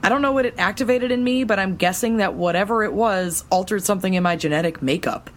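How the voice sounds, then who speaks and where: A woman playing an eager, excitable female superhero hacker and scientist in an audio drama, with an expressive delivery. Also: medium pitch, fast, natural voice. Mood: intent, analytical.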